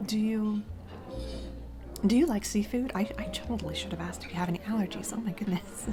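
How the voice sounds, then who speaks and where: A woman playing a flustered single mom on a blind date in a romantic audio roleplay, speaking haltingly with nervous giggles. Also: medium pitch, gravelly, close to an everyday voice. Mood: eager, chatty. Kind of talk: romantic audio roleplay, intimate conversational acting. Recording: music playing behind the voice. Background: music, restaurant ambiance.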